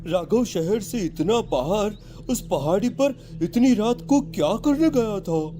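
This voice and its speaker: A man's voice: high voice, lively intonation, slow pace, music in the background. A man playing an easygoing young partygoer in a cartoon story. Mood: puzzled.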